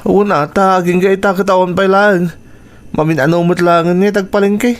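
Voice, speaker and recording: A man's voice: sits high, little pitch movement, moderate pace, close to an everyday voice. A man with an Ilocano accent playing a jealous, suspicious, brooding husband in a radio drama, accusing and emphatic. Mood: sullen.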